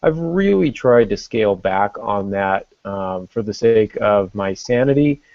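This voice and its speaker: A middle-aged man hosting a podcast, talking casually in a halting, reflective way and correcting himself as he goes. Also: on the low side, lively intonation, creaky, no echo, highs cut off. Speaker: podcast host.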